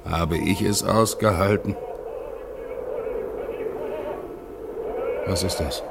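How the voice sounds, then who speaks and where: An actor playing an exhausted, solemn polar expedition leader, reminiscing haltingly in a radio drama. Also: male voice, deep voice, fairly even intonation, vocal fry, background noise. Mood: weary, wistful. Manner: halting, brooding, incantatory. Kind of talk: German radio play acting, dramatic monologue.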